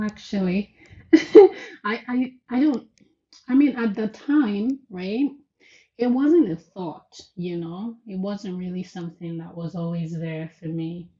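A woman in her thirties from Nigeria, a podcast guest who tells her story in a casual, animated way and laughs often. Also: mid-range voice, highs cut off. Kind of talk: casual interview chat.

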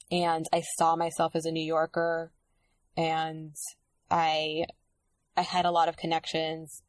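A woman's voice: sits low, no room sound. Hesitant, reflective woman, dry close sound. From Dominican Republic. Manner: reflective, hesitant, many fillers and restarts. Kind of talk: casual conversation.

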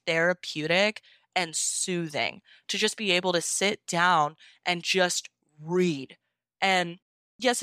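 A woman's voice: lowish voice, fairly bright tone, smooth, no echo, studio quality. A woman talking casually and enthusiastically as an interview guest, in an informal, encouraging way. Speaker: podfic narrator being interviewed.

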